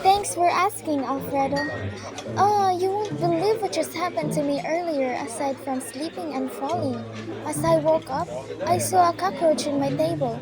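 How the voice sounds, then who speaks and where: A woman's voice: sits high, moderate pace, crowd noise, lightly acted. A young woman playing a polite, playful young lady in a radio drama. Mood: playful, chatty. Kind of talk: student radio drama acting. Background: music.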